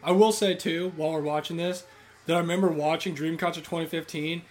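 A man's voice: a little high, fairly even intonation, a little fast. A man co-hosting a casual podcast, reading listener emails aloud with playful banter and small slips over names. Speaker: podcast co-host.

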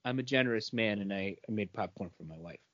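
A man's voice: fairly low pitch, fast talker, fairly bright tone, lo-fi sound. A man co-hosting a podcast, telling everyday anecdotes in a casual, humorous way. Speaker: podcast co-host.

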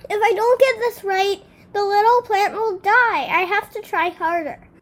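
A small, eager-to-please storybook dog, as a woman narrator puts her on, with a very high voice. She speaks slowly and earnestly, pleading when upset. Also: fairly even intonation. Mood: distressed. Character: small kind anxious female dog, young.

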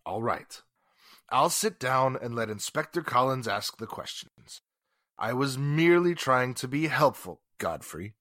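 Guy doing a stuffy rich businessman in an old radio play, bright voice, sarcastic, swoops around a lot. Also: mid-range voice, animated, a little slow, dry recording, clean studio sound. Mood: indignant. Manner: pompous, formal, sarcastic. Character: haughty, indignant wealthy businessman under police questioning. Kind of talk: 1940s radio drama acting.

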